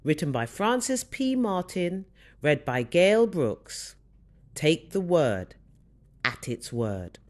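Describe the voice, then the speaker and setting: Female audiobook reader for faith-based teaching text; animated, wide-ranging pitch, deliberate and emphatic delivery.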